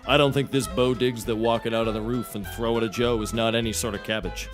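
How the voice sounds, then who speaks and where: An actor playing a sensible, articulate private eye with a dry, teasing, wordy way of talking. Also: male voice, mid-range voice, fairly even intonation, fast, music in the background, lightly acted. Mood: wry. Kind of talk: comedic mock-noir dialogue.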